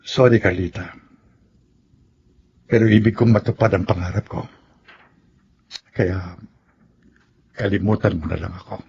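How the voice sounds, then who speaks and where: A man's voice: low voice, steady tone, unhurried, pauses often, a little mellow. A man playing an earnest, emotional young man in a Tagalog radio drama. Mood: apologetic, regretful. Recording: muffled lo-fi with clear noise.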